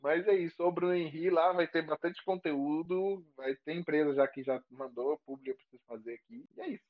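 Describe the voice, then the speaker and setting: A man's voice: on the high side, fairly quick, phone-quality sound. A man with a Brazilian Portuguese accent, a chef guest chatting casually on a podcast, rambling and correcting himself with a playful streak.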